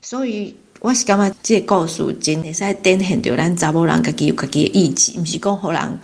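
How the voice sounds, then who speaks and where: Lower-pitched Taiwanese woman, reflective. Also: a little fast, a little mellow. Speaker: discussion guest. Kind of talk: informal spoken book reflection.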